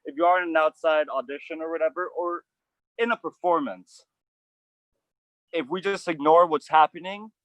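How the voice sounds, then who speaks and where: A man hosting an outdoor acting coaching session, animated and emphatic, with frequent restarts. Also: fairly high pitch, normal speed, long pauses, no room sound, poor audio.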